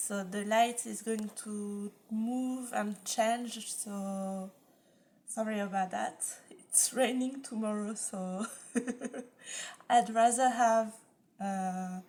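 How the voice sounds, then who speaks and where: A woman in her forties, not a native English speaker, chatting at home about her knitting, with many ums and restarts. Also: mid-range voice. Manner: hesitant, lots of ums, restarts and soft laughs. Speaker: knitting podcast host. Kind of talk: casual chat. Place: living room at home.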